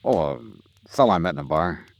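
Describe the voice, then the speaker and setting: An actor playing a wry, hard-boiled male insurance investigator, telling his case crisply and dryly in 1950s radio noir style. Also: sits low, lively intonation, no room sound. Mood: evasive. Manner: crisp, dry, deliberate storytelling.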